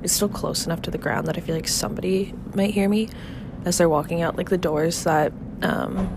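A woman's voice: on the low side, brisk, creaky, background noise. A young woman in her twenties hosting a casual solo podcast, rambling with many fillers and laughs, a bit nasal and congested from a cold. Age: 20s. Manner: rambling, filler-heavy, frequent laughs and swearing. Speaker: podcast host. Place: outdoor apartment balcony.

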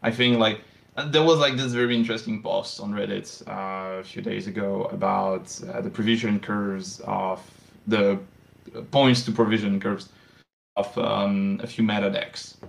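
A man talks through design choices in a casual podcast interview. His voice sits low with a gravelly edge, and he speaks slowly. He hesitates often, filling gaps with ums and starting sentences over. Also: fairly even intonation. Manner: hesitant, many fillers and restarts. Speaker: game designer guest.

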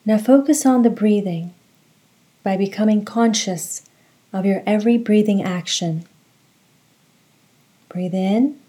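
A woman leading a guided meditation, giving calm, measured instructions and reciting. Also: mid-range voice.

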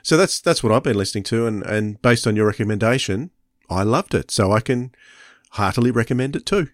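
A man's voice: lowish voice, animated, brisk, dry recording, studio quality. A man co-hosting a podcast, chatting casually and appreciatively about what he has heard, often repeating phrases as he feels his way along. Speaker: podcast co-host. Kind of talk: casual review chat.

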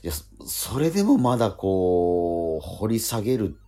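A man co-hosting a radio show in casual chat, telling stories excitably with repeated emphatic words. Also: low-pitched, unhurried. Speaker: radio show co-host and stage actor. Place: recorded radio programme.

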